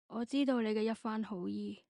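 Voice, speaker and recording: A woman's voice: mid-range voice, normal speed, creaky, warm tone, no room sound, clean studio sound, close to an everyday voice. A woman playing a hurt, anxious, loving wife in a radio drama, plaintive and searching. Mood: hurt, doubting. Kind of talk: Cantonese radio drama acting.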